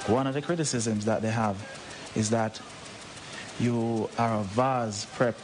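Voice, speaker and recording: A man's voice: mid-range voice, steady tone, measured pace, music in the background. A man with a Jamaican accent interviewing a musician for radio, probing and often restarting his questions. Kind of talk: casual interview chat. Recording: noisy old interview tape.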